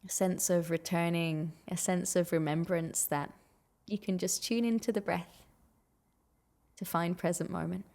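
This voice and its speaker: A woman leading a guided meditation in a gentle, soothing, unhurried manner with long pauses. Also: on the low side, steady tone, gravelly.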